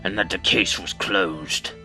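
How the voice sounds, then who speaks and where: A man's voice: low-pitched, unhurried, gravelly, pushed and bright, exaggerated voice. A man playing a pompous, secretive older space center director in a video game fandub, speaking in a theatrical, declamatory way. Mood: grave. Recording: amateur recording with music.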